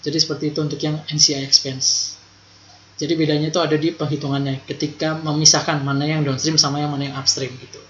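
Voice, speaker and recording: A man's voice: on the high side, level tone, quick pace, a little hiss or hum. Young man, informal tutor, repeats key points, roomy echo, dull lo-fi sound. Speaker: accounting tutor.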